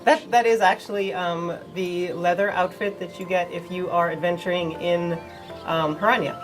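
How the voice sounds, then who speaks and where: Woman with a cold, lowish voice, casual stream explainer, faint music behind. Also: fairly even intonation, normal speed, low-quality recording. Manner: plain, informative, with fillers. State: has a cold. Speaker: community manager on a game stream.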